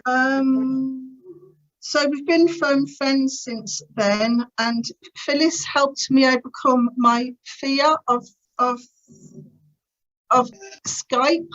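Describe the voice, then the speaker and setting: A woman's voice: high voice, steady tone, extremely slow, a bit bright, highs cut off. Stammering woman in her fifties. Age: 50s. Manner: stammers, with frequent repeated sounds and blocks. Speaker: conference speaker. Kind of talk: personal talk at an online conference. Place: at home on a video call.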